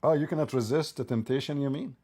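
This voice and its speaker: A man's voice: medium pitch, quick pace, darkish tone, slightly rough. A middle-aged man, hosting a debate livestream, mocking and needling his guest with repeated pointed questions. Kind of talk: mocking, provocative debate talk.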